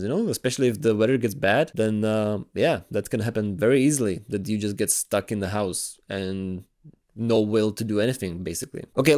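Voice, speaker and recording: A man's voice: lowish voice, darkish tone. A man from the Republic, chatting casually as he answers viewer comments and laughs along. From Czech Republic. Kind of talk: casual Q&A chat.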